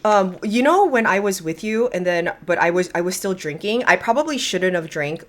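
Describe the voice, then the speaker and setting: Podcast co-host, woman, animated pitch, casual sisterly banter. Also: moderate pace, background noise. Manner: chatty, says "girl" a lot. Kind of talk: casual chat between sisters.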